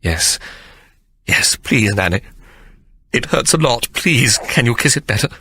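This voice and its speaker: A man doing a whimpering, pleading gentleman. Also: on the high side, sing-song, takes it slowly, no echo, pitched above the performer's own voice, heavily acted. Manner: pleading, gasping. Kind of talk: audiobook character voice.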